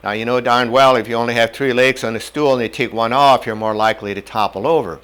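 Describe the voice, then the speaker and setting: An older man from North Dakota whose first language was German, giving a measured lecture on medical ethics in a classroom on a lapel mic. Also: mid-range voice, fairly even intonation, a bit bright, clear, resonant tone. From North Dakota, USA. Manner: measured, plain-spoken teaching with homely examples. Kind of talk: whiteboard lecture. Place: indoor classroom in front of a whiteboard.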